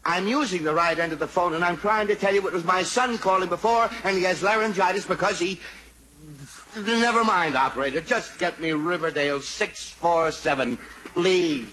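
A man's voice: sits high, steady tone, quick pace, few pauses, bright, forceful tone. An actor playing an exasperated, weary middle-aged father, sighing and long-suffering, in an old-time radio sitcom. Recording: old noisy radio broadcast.

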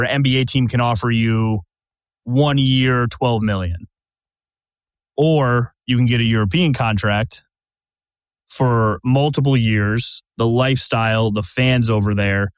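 A man's voice: mid-range voice, steady tone, moderate pace, clear, resonant tone, no echo. A man hosting a casual sports podcast, talking in a conversational way, full of fillers, and steering the talk with questions. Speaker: podcast host. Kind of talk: casual chat.

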